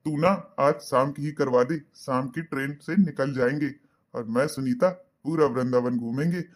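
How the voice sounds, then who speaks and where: A man voicing an elderly, pious, accommodating father in a cartoon, soft-spoken and reasoning. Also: medium pitch, normal speed, close to an everyday voice. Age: older. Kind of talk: cartoon voice acting.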